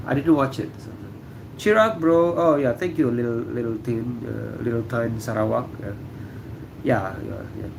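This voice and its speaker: Middle-aged man from Indonesia, gravelly voice, casual halting livestream chat, faint room hum behind. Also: mid-range voice. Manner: relaxed, halting, many fillers. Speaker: livestream host. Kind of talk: casual livestream chat reading viewer comments. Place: room indoors.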